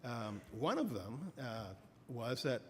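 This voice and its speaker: A middle-aged man giving an academic lecture as a professor, halting with many fillers and restarts. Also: medium pitch, big pitch swings, a little mellow, a little hiss or hum. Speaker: professor giving a keynote address. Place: lecture hall. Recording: reverberant hall, faint ambience.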